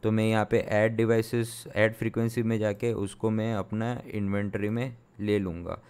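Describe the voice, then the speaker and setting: Young guy from india doing a screen tutorial, lots of uh and restarts, clear voice. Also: lowish voice, little pitch movement, normal speed, a little mellow. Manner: thinking aloud with many fillers and restarts; explanatory, step-by-step. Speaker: sound engineer teaching software. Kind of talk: screen-recorded software tutorial.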